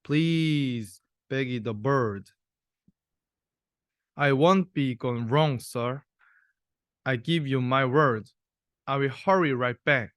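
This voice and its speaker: Young male storybook reader, student, slow delivery, dry close sound. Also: medium pitch, a bit bright, clear, resonant tone, no room sound. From South Korea. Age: young adult. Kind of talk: reading a rhyming children's storybook aloud.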